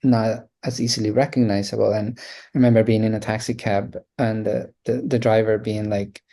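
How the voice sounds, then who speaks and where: A man's voice: lowish voice, little pitch movement, moderate pace, mellow. A man in his thirties from Colombia with a Colombian accent, a guest talking reflectively in a casual interview, with many fillers and restarts. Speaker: guest artist.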